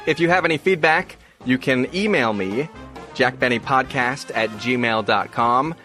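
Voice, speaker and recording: A man's voice: mid-range voice, a little slow, sharp tone. A man hosting a podcast, casually introducing an old radio episode. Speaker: podcast host. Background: music.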